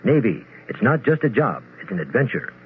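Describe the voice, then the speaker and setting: A man's voice: mid-range voice, big pitch swings, fairly quick. Male announcer on thin old radio. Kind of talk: scripted 1970s radio public-service read. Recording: phone-like old radio, faint noise.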